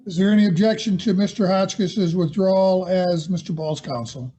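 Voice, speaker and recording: High-voiced man presiding as judge. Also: dark tone, a little hiss or hum. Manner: measured, hesitant with many fillers. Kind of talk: court hearing over video call. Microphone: video call.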